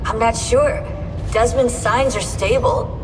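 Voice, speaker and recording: A woman's voice: fairly high pitch, slow pace, background noise. A woman playing a candid, matter-of-fact computer technician in a video game drama. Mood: concerned. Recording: distant, reverberant, music behind.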